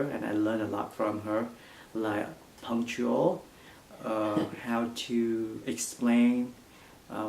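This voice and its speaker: A young man from Vietnam with a Vietnamese accent, answering interview questions in halting English full of fillers. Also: fairly low pitch, gravelly, a little hiss or hum. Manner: halting non-native English with frequent fillers. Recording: echoey room.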